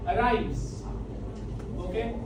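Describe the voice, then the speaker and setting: A woman's voice: fairly high pitch, drawn-out words. A woman teaching a class as a lecturer, explaining step by step with repetition, recorded from the back of a large classroom. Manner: repetitive step-by-step explaining, often checking understanding. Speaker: physics teacher. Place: large tiled indoor classroom full of students. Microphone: far camera at back of room. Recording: distant, very echoey classroom with noisy room ambience.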